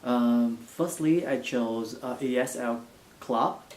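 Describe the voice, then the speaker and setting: A young man from Vietnam with a Vietnamese accent, answering interview questions in halting English full of fillers. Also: medium pitch, darkish tone. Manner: halting non-native English with frequent fillers. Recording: echoey room.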